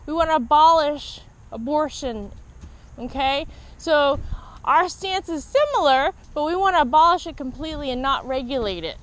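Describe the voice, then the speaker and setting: A woman activist making polite, persuasive appeals to passersby in an earnest outreach pitch. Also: expressive, moderate pace, a bit bright. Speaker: activist street evangelist. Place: outdoors beside a church parking lot roadway. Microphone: body-worn action camera on the speaker. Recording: noisy outdoor body-camera audio. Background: passing vehicles, outdoor noise.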